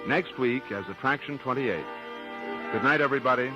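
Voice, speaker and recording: A man's voice: mid-range voice, moderate pace. Old 40s radio host man doing a scripted sign-off, music behind him, muffled recording. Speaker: radio show director and host. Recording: dull vintage 1940s radio broadcast.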